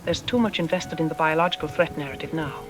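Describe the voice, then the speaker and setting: A woman's voice: sits low, fast, lightly acted. Woman acting as a lady psych expert on a radio drama, sure of herself, lecturing, bit dismissive, music underneath. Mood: firm, resigned. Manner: didactic, confident, articulate. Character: self-assured female psychologist, calmly dismissive expert guest. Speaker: expert interview guest. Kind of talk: radio drama interview, measured explanatory delivery. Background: background music.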